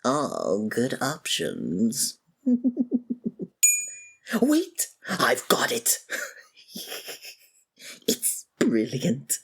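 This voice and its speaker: A woman playing a sardonic, theatrical supervillain who monologues and taunts in a scripted ASMR roleplay, with a breathy voice. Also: a bass voice, wildly swooping pitch, extremely slow, pauses often, exaggerated voice. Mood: gleefully menacing.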